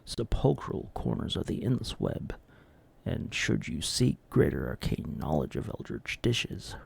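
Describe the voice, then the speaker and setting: A man voicing an ominous eldritch herald in mock horror narration, gravelly and hushed, with pompous, archaic phrasing. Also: deep voice, very animated, unhurried, pitched below the performer's own voice, full character voice. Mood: sinister, foreboding. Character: ominous eldritch herald of forbidden, horrific dishes.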